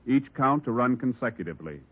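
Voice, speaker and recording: A man's voice: medium pitch, expressive, brisk, slightly rough. An American man announcing formally in 1940s radio style. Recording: tinny old radio, brass music behind.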